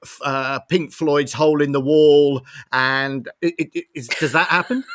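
A middle-aged man co-hosting a podcast, asking questions in a casual, halting way. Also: on the high side, steady tone, fairly bright tone. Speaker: podcast co-host. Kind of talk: casual interview chat.

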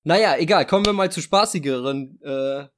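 A man co-hosting a casual podcast, asking relaxed questions and giving short backchannels. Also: a little high, a little fast, a little hiss or hum. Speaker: podcast co-host. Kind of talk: casual chat.

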